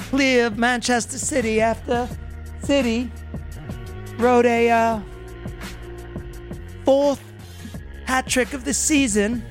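A man hosting a sports radio show, chatting casually and often tagging thoughts with "like" and "right?". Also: very high-pitched. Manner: conversational, frequent "like" and "right?" fillers.

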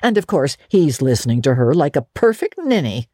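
A woman voicing a strict, sharp-tongued older woman who scolds briskly. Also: deep voice, very animated, husky, dry recording, studio quality, full character voice. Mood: stern.

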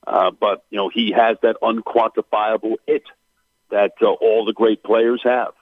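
A man's voice: lowish voice, little pitch movement, unhurried, slightly rough, no echo. A man calling in as a guest to a radio sports talk show, breaking down a basketball player bluntly with lots of "uh" and "you know". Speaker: basketball play-by-play announcer guest. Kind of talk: radio sports interview, analytical. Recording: tinny phone line.

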